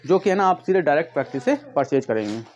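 A man casually pitching products to camera, often addressing viewers as friends and leaning on repeated verbal tics. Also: animated, quick pace, a little mellow, highs cut off. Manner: repetitive filler phrases, addresses viewers as friends. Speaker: vlogger and shoe seller. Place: tiled room at home with stock boxes, indoors. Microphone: clip-on lapel mic. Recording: noticeable background noise, clicks.

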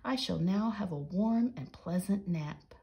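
Woman voicing a fussy, creaky storybook guinea pig. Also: fairly low pitch, lively intonation, measured pace, dark tone. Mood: sleepy. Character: fussy, cold-fearing male guinea pig who loves comfort.

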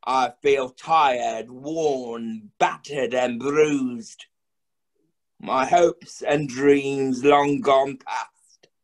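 Slow, weary working-class father, played by a man. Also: medium pitch, steady tone. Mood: weary, bitter. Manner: slow, measured, theatrical delivery with refrains. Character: weary downtrodden working-class father, proud, bitter, grieving. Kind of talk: dramatic verse monologue.

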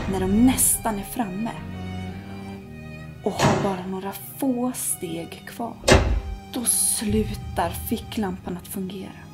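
A young woman reads a children's ghost story aloud in a cozy living room at night. Her voice sits at an average pitch, and she drops to hushed whispers at the tense moments. Soft music plays clearly behind her. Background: soft background music.

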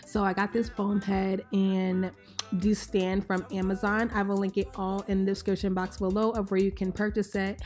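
A young woman, a little hoarse from a cold, chatting casually through a step-by-step hair tutorial voice-over. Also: medium pitch, normal speed. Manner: chatty, relaxed, explains step by step with "like" and "um". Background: faint background music.

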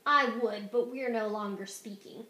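A woman's voice: medium pitch, moderate pace, sharp tone, echoey room, pitched below the performer's own voice, close to an everyday voice. A woman voicing a boastful, squabbling orange crayon in a storybook, snippy and emphatic. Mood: indignant, boastful.

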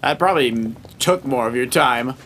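A man's voice: mid-range voice, moderate pace, a bit bright, a little hiss or hum. A man, middle-aged, giving casual let's play commentary in a playful, coaching way as a father guiding his child. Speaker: father guiding child through a video game.

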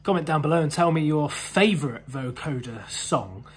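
A man's voice: a little high, lively intonation, normal speed, loud music behind the voice. A British man in his thirties presenting an explainer to camera in a conversational, enthusiastic way. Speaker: museum video host. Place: indoor museum room full of synthesizers.

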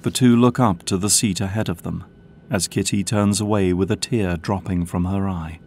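A man's voice: low-pitched, steady tone, moderate pace, darkish tone, a little hiss or hum. A man delivering scripted narration for an audio drama, as its narrator.

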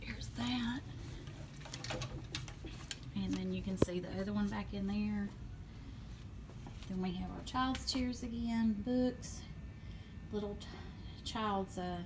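A woman's voice: mid-range voice, takes it slowly, long pauses, far from the mic, noisy background. A middle-aged woman chatting casually and gushing over items as she films a walk-through of her antique mall booth. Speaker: booth owner giving a shop tour. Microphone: phone camera held while walking. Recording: phone audio, voice drifting off-mic.

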